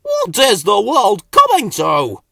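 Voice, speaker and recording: A man's voice: sits high, huge pitch swings, takes it slowly, vocal fry, fairly bright tone, dry recording, studio quality, pitched above the performer's own voice, exaggerated voice. A man voicing a pompous, easily offended old male tortoise with a blustering, indignant manner in a children's story. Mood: grumbling outrage.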